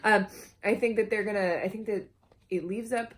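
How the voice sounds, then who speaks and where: A woman's voice: medium pitch, steady tone, normal speed. A woman chatting casually in a supportive, reassuring way. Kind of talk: casual chat.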